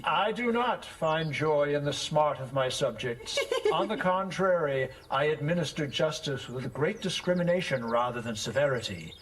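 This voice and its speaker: An actor playing a fussy, stern schoolmaster in an audio drama, with pompous, florid, theatrical diction. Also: male voice, fairly high pitch, expressive, normal speed, hardly any pauses, natural voice, poor audio. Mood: stern, scolding.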